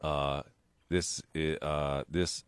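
A man's voice: very deep voice, flat delivery, very slow, clean tone, no room sound, clean studio sound. A middle-aged man hosting a radio morning show, bantering casually and telling stories with jokes that trail off. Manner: joking, storytelling, trails off quietly. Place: radio studio. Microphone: studio microphone.

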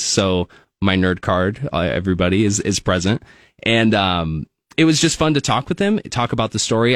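A man's voice: fairly low pitch, fairly bright tone, no echo. A young man, a news reporter chatting upbeat in a radio studio. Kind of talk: casual studio interview.

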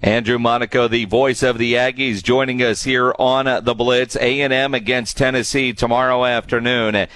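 A man's voice: mid-range voice, little pitch movement, moderate pace, a bit bright. A man hosting a sports talk radio show in a casual, conversational style. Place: remote broadcast from a stadium.

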